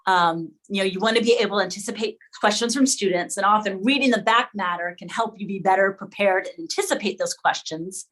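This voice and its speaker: Woman hosting an online teacher session, mid pitch, conversational, checking in with the group. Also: brisk, few pauses, fairly bright tone, rough recording. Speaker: host of an online session for educators. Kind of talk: presenting and moderating a video meeting discussion.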